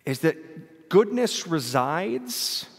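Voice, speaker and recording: A man preaching a homily as a priest, a native English speaker, earnest and unhurried with frequent self-deprecating chuckles, recorded in a church during an evening Mass. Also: high-pitched, very animated, gravelly, breathy edge. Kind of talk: bilingual homily. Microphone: church sound system, livestreamed. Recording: long church-hall reverb.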